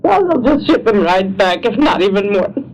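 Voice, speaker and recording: A woman's voice: mid-range voice, sing-song, normal speed, a little mellow, poor audio. A middle-aged woman speaks in a tearful, upset voice, rambling through personal talk. There is faint noise on the old recording. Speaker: mother of a detained teenager.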